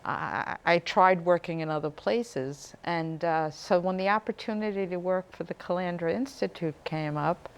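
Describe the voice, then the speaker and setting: A middle-aged woman, a talk show guest, telling her family story in a lively way. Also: on the low side, moderate pace, hardly any pauses, clean tone. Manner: lively storyteller, light laughs. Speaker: guest, community director. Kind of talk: interview chat.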